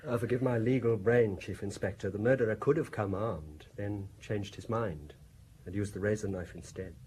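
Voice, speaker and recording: A grieving, timid gentleman lawyer played by a male actor in a radio drama. His speech is formal and hesitant. Faint ambient noise sits behind the voice. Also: on the low side, moderate pace, natural voice, low-quality recording.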